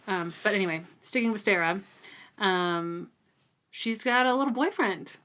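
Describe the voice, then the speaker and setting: A woman's voice: medium pitch, moderate pace, a bit bright. Casual, chatty woman co-hosting a podcast. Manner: chatty, frequent "like" and "super". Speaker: podcast co-host. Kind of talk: casual book discussion.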